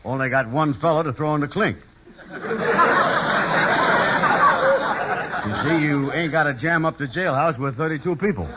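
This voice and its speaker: An actor in an old radio sitcom playing a blustery, simple-minded sidekick with folksy, ungrammatical talk. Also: male voice, fairly high pitch, fast, over a noisy crowd, lightly acted. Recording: very noisy, dull vintage radio recording.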